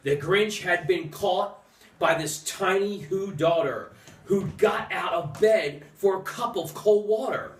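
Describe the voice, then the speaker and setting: A man reading a rhyming children's story aloud in a theatrical way, with dramatic pauses. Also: high voice, faint music behind. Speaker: storybook reader. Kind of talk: expressive rhyming storybook narration. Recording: roomy echo, distant microphone.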